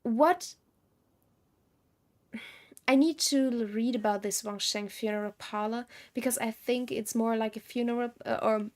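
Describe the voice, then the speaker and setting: A woman's voice: medium pitch, gravelly. A woman game streamer giving casual live commentary, musing and thinking aloud.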